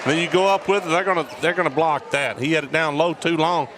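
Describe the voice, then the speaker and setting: A man with a naturally loud voice, giving folksy color commentary at a high school basketball game in a school gym. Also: quick pace. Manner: loud, folksy, repeats words. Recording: gym noise behind. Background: gym crowd and bouncing basketball.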